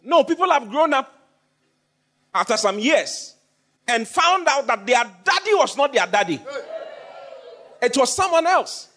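A man's voice: very high-pitched, very animated, measured pace, pauses often, bright, forceful tone. A man preaching a sermon, repeating phrases for emphasis and questioning his congregation. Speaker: pastor.

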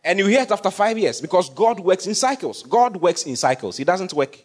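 Preacher with a lively, rising voice. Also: male voice, high voice, fairly quick. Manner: call-and-response with congregation, repeats phrases for emphasis. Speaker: pastor. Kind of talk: sermon.

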